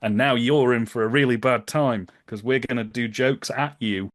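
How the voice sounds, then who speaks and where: A man in casual conversational commentary, telling anecdotes in an amused, animated way. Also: medium pitch, moderate pace, dry recording, clean studio sound. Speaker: podcast guest.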